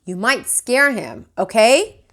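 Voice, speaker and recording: A put-upon schoolboy big brother, read by a woman in a children's story. He sounds exasperated and grudging, with pitch that jumps about. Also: sing-song, takes it slowly, fairly bright tone, exaggerated voice. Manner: protesting, grudging. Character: put-upon, exasperated fourth-grade boy, older brother.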